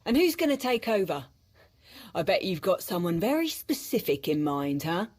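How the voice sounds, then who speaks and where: A woman's voice: on the low side, big pitch swings, moderate pace, a little mellow. A woman playing a weary, sardonic military officer in a video game cutscene, grumbling in an informal way.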